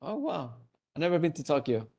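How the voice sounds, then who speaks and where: A man's voice: on the high side, very animated, brisk, vocal fry, a little mellow, breathy edge. A young man with a Venezuelan Spanish accent, hosting a live trivia webinar on a video call in a playful way, laughing and joking. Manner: playful, laughs often, hums and sings along. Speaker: webinar host.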